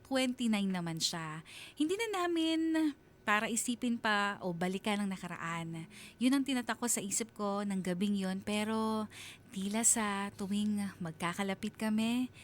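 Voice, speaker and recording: Radio drama narrator: actress as reflective, wistful Filipina, 30, mid-pitched, confiding first person. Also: female voice, moderate pace, natural voice. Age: 30s. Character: wistful thirty-year-old woman confiding her love story. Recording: faint rumbling background noise.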